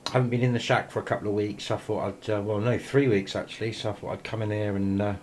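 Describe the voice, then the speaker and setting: Male hobbyist vlogger, lowish voice, loose and hesitant show-and-tell delivery, caught on a handheld camera mic with light noise behind. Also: fairly even intonation, quick pace. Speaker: amateur radio operator filming shack tour. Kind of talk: casual handheld video tour, ad-lib commentary. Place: small indoor radio room. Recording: noisy handheld camera sound.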